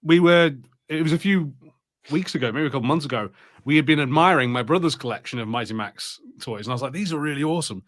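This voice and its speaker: A man co-hosting a podcast, chatting casually in a halting, hesitant way. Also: fairly high pitch. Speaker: podcast co-host. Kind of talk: casual chat.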